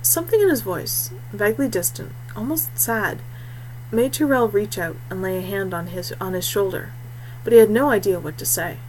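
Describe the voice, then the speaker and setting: A woman's voice: mid-range voice, a little hiss or hum, low-quality recording. A woman reading scripted fiction as an audiobook narrator.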